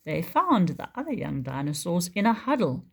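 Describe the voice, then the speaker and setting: A woman teacher reading a picture book aloud for young children in an expressive storytelling manner. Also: lowish voice, moderate pace, vocal fry, breathy edge. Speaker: preschool teacher.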